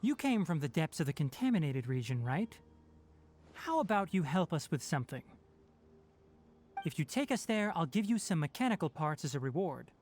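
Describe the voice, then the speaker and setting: An actor playing a calm, analytical young male forest ranger who explains his plans in a measured, matter-of-fact way in a game cutscene. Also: a little high, a little hiss or hum. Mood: reasoned. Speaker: forest watcher.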